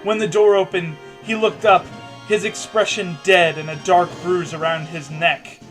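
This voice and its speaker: A man's voice: high-pitched, fairly even intonation, unhurried, a bit bright. A man reading a story aloud with mocking, jokey asides, stammering and stumbling over the text. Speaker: co-host reading fan fiction aloud. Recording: music playing behind the voice. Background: video game music.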